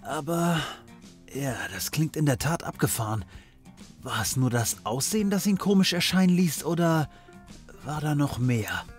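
An actor playing a hard-drinking occult detective in a comedy audio drama, speaking in a casual, probing, slangy way. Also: male voice, fairly high pitch, lively intonation, moderate pace, faint music behind, lightly acted. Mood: curious, wry. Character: male occult private detective, dry, hard-drinking, pale.

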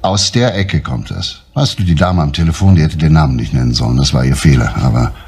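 A serious old-school tv detective, deep husky voice, slow and steady. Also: male voice, full character voice. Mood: grave. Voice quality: low, husky, measured. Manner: calm, grave, deliberate. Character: stern male chief police inspector, middle-aged. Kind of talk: 1970s TV crime drama dialogue.

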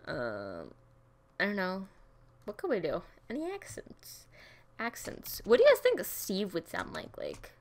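A woman's voice: mid-range voice, huge pitch swings, a little slow, a little mellow. A young woman chatting casually while playing a game, giggly and rambling, with keyboard typing nearby. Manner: chatty, giggly, jumps into silly voices and snatches of song. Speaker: gaming streamer. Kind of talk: casual gameplay commentary.

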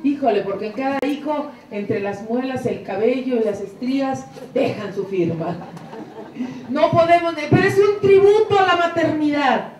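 A middle-aged woman giving an inspirational church talk on a handheld mic, fervent, moving between hushed prayerful passages and soaring emphatic lines. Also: high-pitched, expressive, moderate pace, a little hiss or hum. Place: room with curtained wall, indoors. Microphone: handheld mic, filmed from a distance. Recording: echoey room, camera far off.

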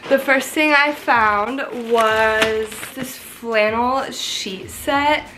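A woman's voice: fairly high pitch, extremely slow, noisy background. A young woman in her twenties chatting casually to the camera in a small apartment, congested from a cold, bubbly and chatty with casual swearing. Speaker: vlogger. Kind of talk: casual vlog chat to camera. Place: small apartment bedroom, indoors. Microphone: camera in front, close framing.

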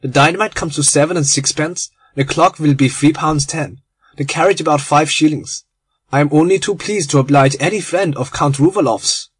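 A man's voice: on the high side, normal speed, breathy edge, no room sound. A man doing a genial little German bomb-maker. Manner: cheerful, effusive, courteous.

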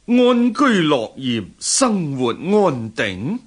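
Radio drama Mongol warlord: commanding, slow, very animated intonation, Hong Kong Cantonese accent. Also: male voice, a little high, breathy edge, heavily acted. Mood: pondering. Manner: slow, declamatory, imperious. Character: Mongol general, commanding, receptive to counsel. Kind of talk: historical radio drama acting.

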